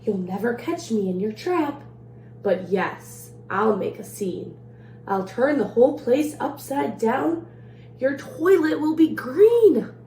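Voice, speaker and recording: A woman plays a cocky, mischievous little leprechaun from a rhyming storybook. The voice is mellow and teasing, with a sing-song lilt, in an echoey room with faint noise. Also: a little high, lively intonation, slow pace. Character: boastful, mischievous two-hundred-year-old male leprechaun trickster. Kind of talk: picture-book read-aloud in rhyme. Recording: roomy echo, faint background noise.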